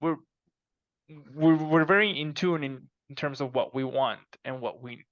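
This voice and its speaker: A man answering conversationally as a podcast guest, halting and repeating words as he starts phrases. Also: a little high, a bit bright, dry recording, muffled recording. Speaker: podcast guest, fiction co-author.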